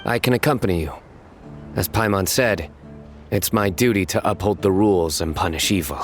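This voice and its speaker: An actor voicing a stern young lawman who delivers puns in a deadpan way, in a game cutscene. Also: low-pitched, moderate pace, soft background music, close to an everyday voice.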